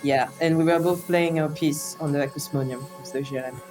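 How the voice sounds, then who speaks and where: A young woman with a French accent speaks in a thoughtful, hesitant way, her low-pitched voice often pausing to restart or search for a word. Clear music plays underneath her. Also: fairly even intonation. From France. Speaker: interview guest, sound artist. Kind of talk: reflective conversational interview.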